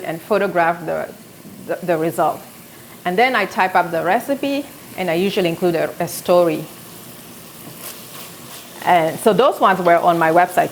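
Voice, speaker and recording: A woman's voice: on the low side, a little hiss or hum, poor audio. South Sudanese woman chatting while cooking. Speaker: cooking show host. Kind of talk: live cooking demonstration. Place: home kitchen, indoors. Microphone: camera on tripod, medium distance.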